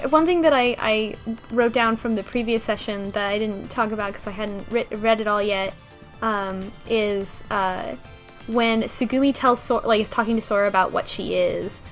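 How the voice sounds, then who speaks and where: A woman talking casually in a group discussion about a game, rambling with many restarts and fillers. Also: mid-range voice. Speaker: podcast co-host discussing a game.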